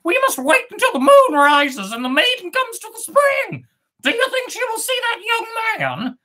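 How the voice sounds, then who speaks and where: A woman's voice: extremely high pitch, sing-song, moderate pace, sharp tone, pitched above the performer's own voice, full character voice. A woman voicing a chirpy, gossipy little bird in a fairy tale told aloud. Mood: eager. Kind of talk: animated fairy tale storytelling.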